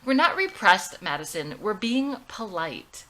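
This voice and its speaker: A woman's voice: medium pitch, very animated, a little slow, sharp tone, low-quality recording. A woman playing a prim, controlling middle-aged mother who scolds politely in a drama script. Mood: defensive.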